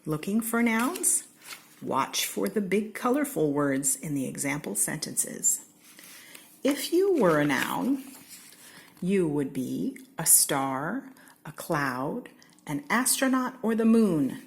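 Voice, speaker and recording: A woman from Seattle with an American accent, reading a children's picture book aloud in a slow, expressive way. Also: gravelly. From Seattle, Washington. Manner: slow, expressive read-aloud with lively pitch swings. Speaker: storybook reader.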